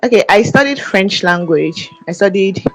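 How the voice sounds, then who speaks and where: A young Nigerian woman from Ebonyi State answering interview questions politely and softly, with hesitant restarts. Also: mid-range voice, animated, moderate pace, faint music behind, low-quality recording. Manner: polite, soft-spoken, hesitant with fillers and restarts. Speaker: guest.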